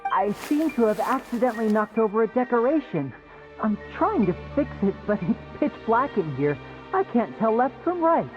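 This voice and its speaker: A woman's voice: fairly high pitch, big pitch swings, moderate pace, a little mellow. A woman voicing a polite, composed young male stage magician with theatrical delivery in a video game. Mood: calm, fussy. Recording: muffled, music behind.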